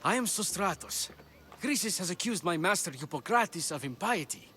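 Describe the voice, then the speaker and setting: A man's voice: high-pitched, expressive, normal speed, sharp tone, slight background noise. A man playing an anxious, earnest assistant to an ancient Greek physician in a video game. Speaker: game character. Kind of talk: video game cutscene acting.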